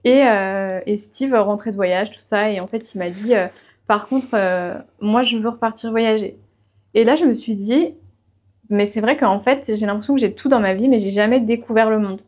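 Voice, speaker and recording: A woman's voice: mid-range voice, normal speed, darkish tone, highs cut off. A young woman podcast guest, telling her own story in a casual, conversational way. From of Portuguese family background. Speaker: podcast guest, freelance web writer.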